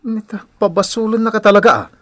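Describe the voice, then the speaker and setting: A man's voice: sits high, quick pace, no echo. A Filipino man playing a taunting, drunken, abusive husband in a radio drama. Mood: dismissive, irritated. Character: menacing, drunken abusive husband, mocking and volatile. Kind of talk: Ilocano radio drama acting.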